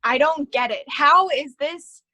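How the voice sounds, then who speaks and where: A woman's voice: high-pitched, a little slow, sharp tone. A woman playing an indignant, exasperated and bewildered woman in a staged play reading. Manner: demanding, exasperated. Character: indignant, bewildered woman demanding her money back.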